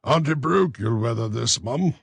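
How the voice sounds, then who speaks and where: A man's voice: mid-range voice, expressive, moderate pace, gravelly, husky, no room sound, pitched above the performer's own voice. A man voicing a pompous, self-important male parish beadle who lectures in a blustering way. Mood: grumbling. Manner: blustering, self-satisfied, preachy.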